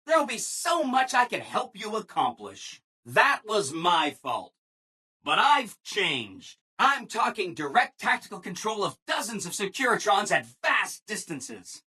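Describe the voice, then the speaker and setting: Game robot assistant: bright, very animated, ingratiating, relentlessly upbeat people-pleaser. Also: male voice, high voice, husky, exaggerated voice. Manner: chipper, ingratiating, emphatic, apologetic people-pleaser. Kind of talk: scripted video game dialogue.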